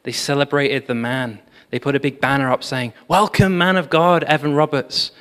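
Man, preacher, storytelling sermon, animated, echoing church hall. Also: medium pitch, moderate pace. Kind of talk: sermon on revival history. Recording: long hall reverb.

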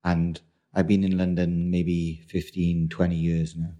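A man's voice: deep voice, level tone, measured pace, warm tone. A middle-aged man from South Wales co-hosting a casual podcast chat, rambling and restarting as he hunts for words. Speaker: podcast co-host.

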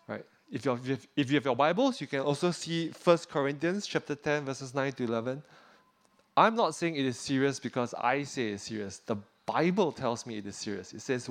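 A man from Singapore preaches, his voice sitting at a middle pitch. He talks earnestly and keeps checking in with his listeners. On heavy points he sinks lower and softer. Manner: drops pitch and volume on weighty points. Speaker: pastor. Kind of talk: sermon.

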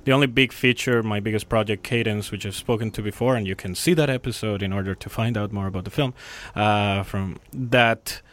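A man's voice: lowish voice, steady tone, a little fast, hardly any pauses, a bit bright. Man in his thirties. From Colombia. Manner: friendly, rambling with fillers. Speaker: filmmaker guest. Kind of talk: casual interview chat.

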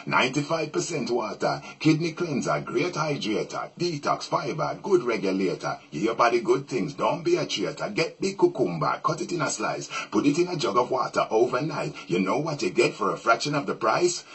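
A man with a husky voice, delivering rhythmic rhymes in a spoken reggae toasting style. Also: mid-range voice, big pitch swings, normal speed, few pauses, fairly bright tone. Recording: music under the voice. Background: music.

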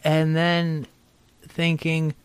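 A man hosting a conversation, speaking in a measured, thoughtful way. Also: on the high side, monotone, clear, resonant tone, no echo. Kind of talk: casual conversation.

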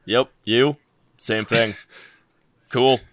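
Tired dry guy guesting on a podcast, flat close sound, no room echo. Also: extremely slow. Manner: dry, low-key, tired. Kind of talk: casual podcast chat.

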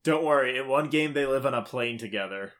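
Male podcast co-host, bright and forward voice, wry and informal. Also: mid-range voice, fairly quick. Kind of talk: casual chat about video games.

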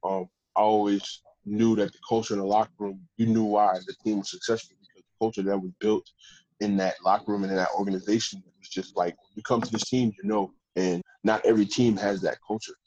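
A man, a professional football player, telling stories in a casual interview with a deep voice and a loose, rambling way of talking. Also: level tone, fairly quick, a little mellow, highs cut off. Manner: laid-back, rambling with restarts. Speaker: pro football player guest.

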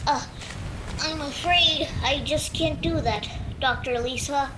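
Child actor as runaway male turtle, audio drama. High, slow, resigned but defiant, noisy recording. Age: child, nine to twelve. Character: defiant, weary escaped bioengineered turtle, male. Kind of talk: youth audio drama acting. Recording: clearly noisy background.

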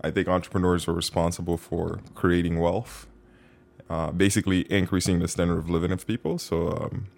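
A man from Haiti telling his life story as a podcast guest, relaxed and self-mocking, with many fillers. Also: sits low, a little fast, gravelly. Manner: relaxed storytelling, frequent fillers, bursts of laughter. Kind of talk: casual interview.

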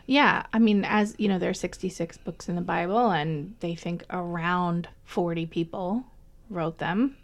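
A woman's voice: lowish voice, measured pace. A jet-lagged woman co-hosting a podcast, casual and supportive, often chiming in with short agreements. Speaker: podcast co-host. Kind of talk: casual conversation.

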